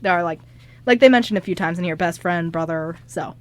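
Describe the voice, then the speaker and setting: A woman in a casual group chat as a radio co-host, giggly and animated, acting out quotes as she talks. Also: lowish voice, brisk. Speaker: co-host and script writer on an amateur radio show.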